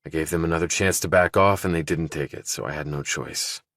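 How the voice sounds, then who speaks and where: A man as a very deep-voiced, tough ex-army fighter. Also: fairly even intonation, brisk, a bit bright, dry recording, studio quality. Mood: guarded, firm. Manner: terse, blunt. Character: tough, guarded young male ex-army fighter.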